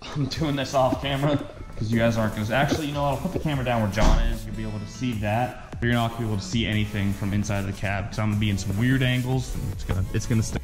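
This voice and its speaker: A man's voice: on the low side, steady tone, very fast, over music. A man in his twenties giving a casual, hands-on tutorial to camera in an informal, folksy way, recorded in a home garage. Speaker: host of a car modification vlog. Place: home garage, partly inside a vehicle.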